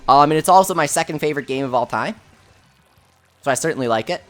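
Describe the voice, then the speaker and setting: Chatty high-voiced man streaming games. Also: animated. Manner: chatty, quick offhand answers with many fillers. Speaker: livestream host. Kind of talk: casual livestream chat, reading viewer questions.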